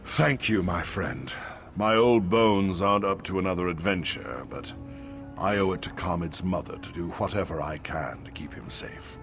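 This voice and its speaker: A man voicing a weary, kindly old man in a fantasy game, speaking in a measured, reflective way. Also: low-pitched, a bit bright, faint music behind. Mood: weary, wistful. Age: older. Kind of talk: AI-voiced fantasy game dialogue.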